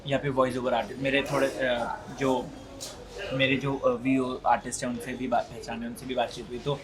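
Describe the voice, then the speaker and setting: A man's voice: mid-range voice, flat delivery, normal speed, crowd noise, poor audio. An Indian man conducting a casual interview, putting questions deferentially and often addressing his guest as sir.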